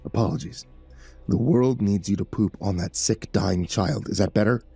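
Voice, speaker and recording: A man voicing a grizzled older man who speaks deadpan and matter-of-fact. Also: on the low side, normal speed, creaky, husky, no room sound, soft background music.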